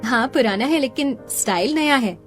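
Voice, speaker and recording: A woman's voice: high voice, expressive, moderate pace, faint music behind. A woman voicing an eager, reassuring young seamstress daughter in a cartoon story. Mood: confident. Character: helpful, confident young daughter, skilled seamstress.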